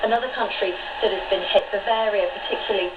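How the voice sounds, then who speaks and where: A woman's voice: medium pitch, steady tone, fast talker. A woman presenting news and interviewing, heard over shortwave radio. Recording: very noisy, dull shortwave radio reception.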